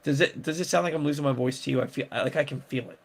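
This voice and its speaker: A man's voice: mid-range voice, very fast, creaky. A man hosting a casual sports talk show, rambling with many restarts and fillers. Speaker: sports podcast host.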